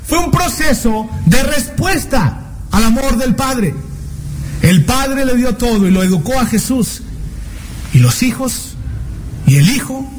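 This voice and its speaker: High-voiced man preaching a slow, earnest sermon. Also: darkish tone, slight background noise. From Mexico. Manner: earnest, slows and stretches words for emphasis, asks rhetorical questions.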